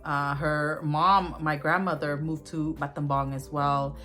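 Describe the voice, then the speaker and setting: A woman in her forties, born in a refugee camp and raised in the U.S., tells her family's history slowly and thoughtfully, with many pauses and fillers. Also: deep voice, fairly even intonation, a bit bright, soft background music. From born in a Thai border refugee camp, raised in the U.S. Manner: thoughtful, with many ums and uhs. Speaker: guest sharing family history. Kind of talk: recorded personal testimony.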